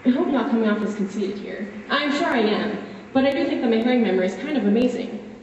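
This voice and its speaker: A wry, wistful fourteen-year-old boy played by a woman confides his story in a dramatic stage monologue. The voice is fairly high and conversational, broken by dramatic pauses. It rings through a very echoey hall, picked up from a distance. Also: expressive, darkish tone, lightly acted. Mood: wry, chatty. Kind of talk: solo dramatic monologue, direct address. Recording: echoey hall, distant, muffled, faint noise.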